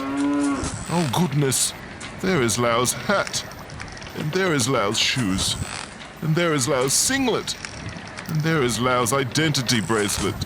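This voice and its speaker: An actor playing a greedy, theatrical squid-boat skipper in a comic radio drama. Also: male voice, medium pitch, background noise. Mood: alarmed, then indignant. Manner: theatrical, exclamatory.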